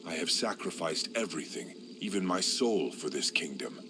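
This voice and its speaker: A man voicing a bitter knight with a demon inside him, speaking slowly, low and threatening. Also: lively intonation, background noise. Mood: grim. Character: brooding male knight harboring a demon, bitter.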